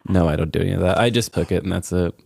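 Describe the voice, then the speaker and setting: Low-voiced man, offhand podcast banter. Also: racing, gravelly, a little mellow, slight background noise. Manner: joking, offhand. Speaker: podcast co-host. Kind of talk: casual comedic chat.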